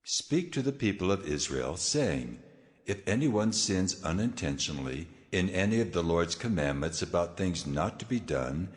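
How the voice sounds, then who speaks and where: God in a bible audio drama, low solemn voice, huge echoing reverb, commanding. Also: male voice, sing-song, moderate pace. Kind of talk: dramatized scripture reading. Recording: long cavernous reverb.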